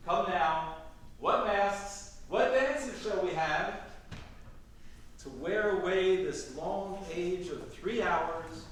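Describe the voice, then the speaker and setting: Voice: medium pitch, drawn-out words. An actor playing a stately, festive duke in a declamatory, theatrical staged Shakespeare reading. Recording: echoey hall, distant mic, faint noise.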